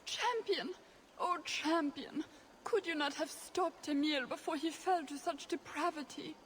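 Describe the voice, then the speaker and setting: Scandalised noble mother, high-pitched, haughty, melodramatic, fantasy game. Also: unhurried, a bit bright, slight background noise. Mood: distraught. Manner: melodramatic, indignant.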